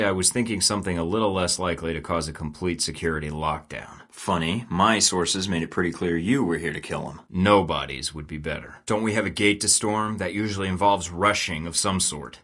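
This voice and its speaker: A wisecracking young secret agent for a video game. His voice sits low and deep, and he trades dry, sarcastic one-liners. Even in tense missions he sounds cool and unbothered. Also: brisk, hardly any pauses. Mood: wry. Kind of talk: game voice lines.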